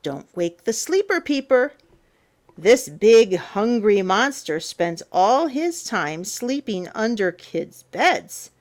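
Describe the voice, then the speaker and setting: Woman reading slowly and very animatedly to children. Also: medium pitch, sing-song, a little mellow. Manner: theatrical, playful, emphatic delivery with drawn-out words. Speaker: storyteller, teacher. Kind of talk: children's picture book read-aloud.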